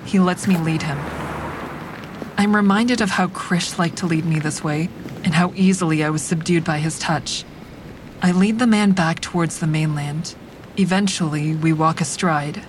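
An actress playing a wry, hard-edged woman who narrates her own story in a frank, deliberate dramatic style. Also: close to an everyday voice, poor audio. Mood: calculating, intimate. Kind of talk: scripted first-person dramatic narration. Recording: clear rumbling traffic noise behind.

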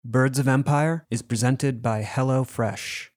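A man's voice: mid-range voice, fairly even intonation, a little slow, a little mellow, faint music behind. A man reading a scripted sponsor message as an announcer.

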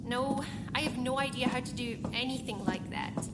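A woman's voice: high voice, moderate pace, loud music behind the voice, close to an everyday voice. A woman playing a stranded scientist, wry, anxious and stubborn, in a naturalistic science fiction audio drama. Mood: uneasy, questioning. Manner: wry, informal, questioning. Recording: loud background noise, ship ambience.

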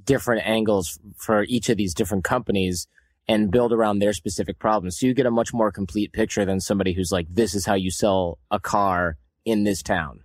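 Male podcast host, lowish voice, dry close sound, upbeat promo read. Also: fairly even intonation, fairly quick, dry recording. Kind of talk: scripted promo read and interview questions.

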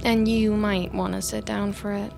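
An actress playing an upbeat, theatrical vampire's familiar with a killer streak in an audio drama. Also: female voice, mid-range voice, little pitch movement, moderate pace, gravelly, music in the background. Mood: giddy, confessional.

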